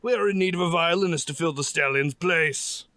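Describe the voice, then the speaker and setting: Imperious pony opera manager male actor, high and sharp with a creaky edge, formal audio drama style. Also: fairly quick, no echo, clean studio sound. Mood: businesslike.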